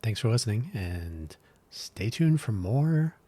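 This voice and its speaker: Solo podcast host, man, middle-aged, deep and low-pitched, throat gone scratchy, rambling with fillers. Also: very animated, slow pace, mellow. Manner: rambling, thoughtful, frequent fillers and restarts.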